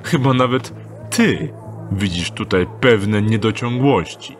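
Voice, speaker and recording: A calculating rogue, voiced by a man, in an audio drama, speaking in a low, unhurried tone. He weighs every offer with dry skepticism. Music runs clearly beneath him. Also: animated. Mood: wary. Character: wary young male rogue Dieter, dry and calculating.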